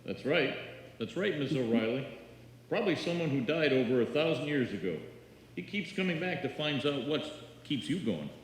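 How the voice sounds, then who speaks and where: A man playing a sardonic, deadpan neighbor who delivers sarcastic wisecracks in live old-time radio comedy. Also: on the high side, normal speed. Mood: wry. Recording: noisy, very echoey live hall.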